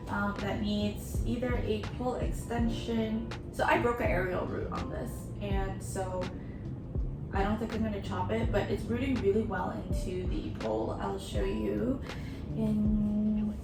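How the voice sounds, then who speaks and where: A young woman with a raspy, sore-throat voice chats casually through plant chores, low on energy and hesitant with many fillers. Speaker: plant care vlogger. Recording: distant mic under loud background music.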